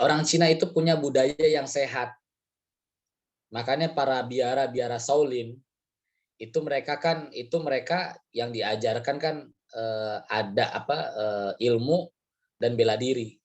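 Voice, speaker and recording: Hoarse guy with a cold, rambling through a religious talk, says ya a lot, mid pitch. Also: slow, pauses often, a little mellow. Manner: chatty, rambling, frequent "ya" fillers. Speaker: Islamic study speaker. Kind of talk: casual religious lecture.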